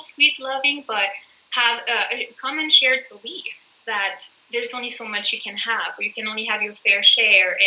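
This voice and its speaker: Bright, emphatic woman. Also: on the high side, roomy sound, a little hiss or hum, poor audio. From France. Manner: earnest and emphatic. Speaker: guest coach and author. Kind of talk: conversational interview.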